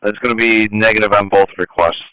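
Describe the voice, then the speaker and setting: A man dispatches fire crews over the radio in a very low, flat voice. His delivery is fast and procedural, and the sound is dry. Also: clean tone, dry recording, poor audio. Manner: procedural, repeats addresses and units. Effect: two-way radio. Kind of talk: formal radio dispatch announcements. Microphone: dispatch radio microphone.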